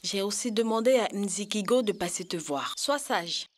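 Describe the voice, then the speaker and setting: A woman's voice: medium pitch, expressive, moderate pace, lightly acted. A woman playing a caring but firm grandmother giving instructions in a children's cartoon dub.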